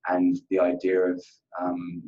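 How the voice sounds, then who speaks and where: A man speaks in a deep voice with little melodic movement. He gives earnest, conversational interview answers, often doubling back on his words. The audio is slightly dull. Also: completely flat delivery, unhurried, dark tone. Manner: earnest, repeats himself, often says "you know". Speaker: account manager being interviewed.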